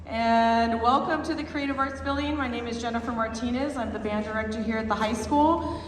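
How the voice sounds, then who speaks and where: Slightly hoarse woman band director greeting a concert crowd, chatty, in a reverberant pavilion with faint ambience. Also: on the high side, a little fast, a little hiss or hum. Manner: chatty, with many fillers and restarts. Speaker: high school band director. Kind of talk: concert introduction to an audience. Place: large performance pavilion. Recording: echoey hall, far from the mic.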